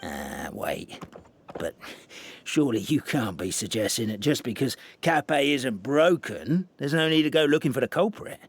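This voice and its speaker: Dithering fantasy-game official: mid-pitched, wry, hesitant, dramatically varied, music behind. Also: male voice, very animated, normal speed, vocal fry, noisy background. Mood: wry, grave. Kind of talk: video game voice acting.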